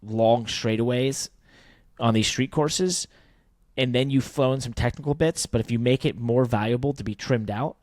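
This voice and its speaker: A man's voice: medium pitch, normal speed, a little mellow, dry recording. Casual, joking male podcast host, dry close sound. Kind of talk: casual motorsports banter.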